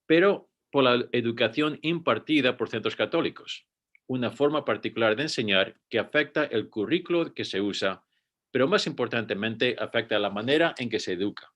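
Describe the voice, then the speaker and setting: Spanish-born man giving a measured panel talk. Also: medium pitch, animated, a bit bright. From Spain. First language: Spanish, with rusty fluency. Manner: measured, read-out delivery with occasional restarts. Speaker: school director and panelist. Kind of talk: prepared panel presentation.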